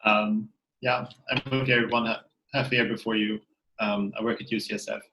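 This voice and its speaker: A man's voice: fairly low pitch, level tone, moderate pace, muffled recording, noisy background. A man briefly introducing himself on a video call, matter-of-fact. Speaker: club member. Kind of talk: casual self-introduction.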